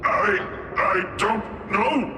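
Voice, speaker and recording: An actor playing a nervous, flattering underling in a fantasy game, stammering and deferential as he eagerly speaks. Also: high-pitched, drawn-out words, background noise. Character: nervous, flattering, eager male underling hanging on every word. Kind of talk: animated fantasy game acting. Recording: roomy sound with background music.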